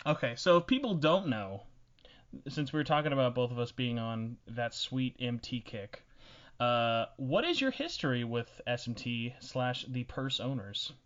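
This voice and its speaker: A young man hosts a casual podcast in a teasing, joking manner. His voice sits at a middling male pitch. The recording is a little dull and muffled. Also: expressive, measured pace, a bit bright. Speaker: podcast host.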